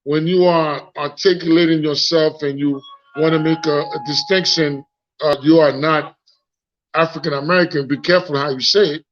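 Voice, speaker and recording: Guest, man in his 40s, Haitian accent, frank and reflective, high-ish voice, heard over muffled phone audio. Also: steady tone, moderate pace, a bit bright, a little hiss or hum. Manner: frank and reflective, repeats words while searching for them. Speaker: guest, founder. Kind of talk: casual live interview.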